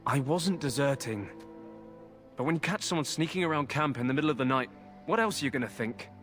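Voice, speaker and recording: A man's voice: medium pitch, animated, fairly quick, fairly bright tone, natural voice. A cheeky jailed deserter played by a male actor from a fantasy game. He wheedles and shrugs off his troubles with sly ease, in a mid-range man's voice with faint music behind him. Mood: sly, evasive. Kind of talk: video game voice acting.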